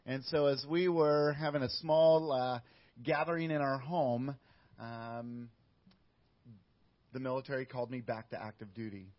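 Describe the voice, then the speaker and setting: A middle-aged man, a missionary guest, telling his story in a casual interview with frequent pauses and fillers. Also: medium pitch. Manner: steady, with many uh fillers and restarts. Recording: dull lo-fi audio.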